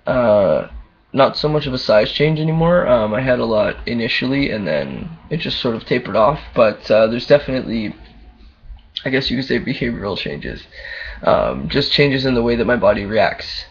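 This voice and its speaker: A young man with a very low voice, talking candidly in a casual video diary, full of ums and uhs. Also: lively intonation, normal speed. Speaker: vlogger. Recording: dull lo-fi camera audio, faint hum.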